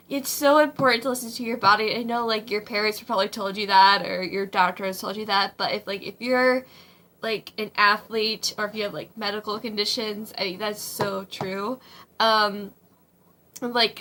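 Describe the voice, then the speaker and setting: A young woman in her twenties, slightly hoarse, giving casual advice from her home kitchen in a rambling way, with many ums and likes. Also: mid-range voice, bright, forceful tone. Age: 20s. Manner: rambling, full of ums and likes, frequent laughs. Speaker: vlogger. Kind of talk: casual faith-based advice vlog.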